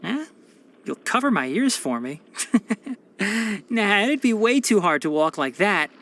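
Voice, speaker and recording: Lively, wry young fox-eared forest watcher. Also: male voice, sits high, measured pace, full character voice. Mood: gentle, confiding. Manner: articulate, bookish, dryly sardonic. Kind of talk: scripted video game character voice lines.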